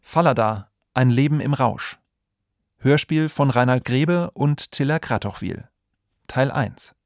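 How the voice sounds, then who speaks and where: A man's voice: medium pitch, slow, dry recording, studio quality. A man announcing the title and credits of a radio play as a scripted reading.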